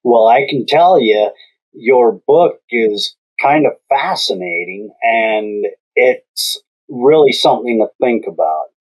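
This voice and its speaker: A man hosting a podcast interview, speaking in a slow, measured way with long pauses. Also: mid-range voice, animated, darkish tone, rough recording. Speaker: podcast host.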